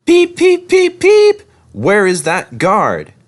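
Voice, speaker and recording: Small keen boy-like locomotive for a storybook, raised pitch, animated, exclaiming male performer. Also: slow, heavily acted. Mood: impatient. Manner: eager, exclaiming. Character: small, eager, cheerful male steam engine, easily anxious.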